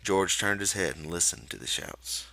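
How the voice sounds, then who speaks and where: A man's voice: low voice, expressive, normal speed, creaky, sharp tone, no room sound. A man narrating a literary story from a script as an audiobook reader.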